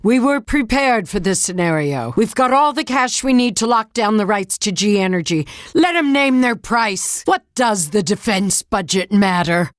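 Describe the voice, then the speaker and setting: An actress playing a tough, resolute government leader in a dramatic video game, speaking in a blunt, commanding way. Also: female voice, medium pitch, animated, moderate pace, few pauses, no room sound, clean studio sound, close to an everyday voice. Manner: blunt, commanding, hard-nosed. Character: tough, resolute female government leader fighting a giant monster.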